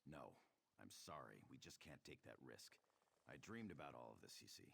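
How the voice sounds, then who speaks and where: An actor playing a paranoid, stubborn village constable in a game, speaking in a suspicious, defensive way. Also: male voice, very deep voice, sing-song, normal speed, creaky, fairly bright tone, breathy edge, heavily acted.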